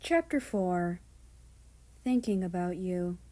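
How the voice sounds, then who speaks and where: A woman narrating fiction as an amateur reader, expressive and unhurried, acting out dialogue as she goes. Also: fairly low pitch.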